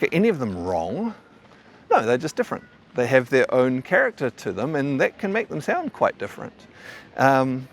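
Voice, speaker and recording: A man's voice: medium pitch, slight background noise. A man with a mild New Zealand accent, a qigong teacher talking casually and thoughtfully to camera, often stammering over restarts. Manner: thoughtful, rambling, frequent stammered restarts and fillers.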